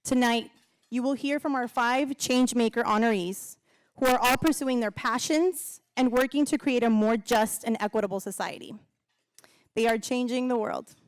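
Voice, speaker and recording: A woman's voice: a little high, normal speed, clear, resonant tone, dry recording. A woman in her thirties speaking on stage into a handheld microphone at a gala, heartfelt and personal, with a faint audience behind her. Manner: heartfelt, laughs between lines. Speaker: co-emcee and alum speaker. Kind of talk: speech at a gala. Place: large event hall, on stage.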